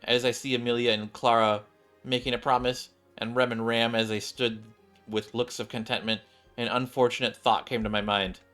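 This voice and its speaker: A man's voice: medium pitch, little pitch movement, normal speed, fairly bright tone. A man streaming a video game, reading its story dialogue aloud and now and then stumbling and rereading. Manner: stumbles over words and rereads. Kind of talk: gaming playthrough, dramatic read-aloud of story text. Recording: game music behind. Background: video game music.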